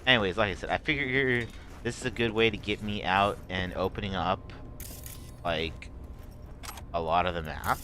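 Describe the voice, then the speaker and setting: Guy streaming a game, deep voice, kinda rough, rambles and restarts a lot, faint game sounds behind. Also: bright, forceful tone, slight background noise. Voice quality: rough, strained voice. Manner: rambling, halting, many fillers and restarts. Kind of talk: casual gameplay commentary.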